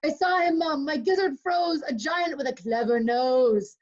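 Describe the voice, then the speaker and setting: A woman voicing an excitable, cheeky young boy in a storybook rhyme, exclaiming breathlessly. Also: sits high, a little slow, pitched above the performer's own voice. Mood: alarmed, breathless. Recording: dull lo-fi call audio.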